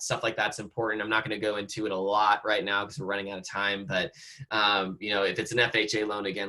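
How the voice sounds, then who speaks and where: American guy on a zoom training, kinda hoarse, lowish voice, chatty with lots of ums. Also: bright, forceful tone, low-quality recording. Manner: conversational, many fillers and restarts. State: slightly hoarse, losing his voice. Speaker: real estate trainer. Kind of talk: online training talk. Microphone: video call.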